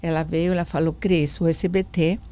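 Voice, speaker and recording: Older woman, non-native, animated storyteller. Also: on the low side, moderate pace, heavy background noise. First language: not Portuguese. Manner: animated storyteller acting out quoted dialogue. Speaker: podcast guest. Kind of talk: storytelling in a relaxed interview.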